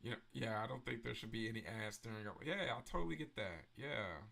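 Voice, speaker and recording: Gravelly, bright-voiced man chatting. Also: fairly low pitch, fairly quick. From Memphis, Tennessee. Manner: relaxed and chatty, answering viewers' chat messages one by one. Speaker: livestream host. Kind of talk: casual live-stream chat.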